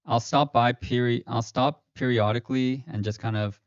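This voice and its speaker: A man's voice: mid-range voice, fairly even intonation, moderate pace, no room sound. Man, livestream host, casual rambling talk, fillers, dry dull audio. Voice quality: slightly robotic-sounding stream voice. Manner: rambling, self-correcting, many fillers. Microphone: streaming mic.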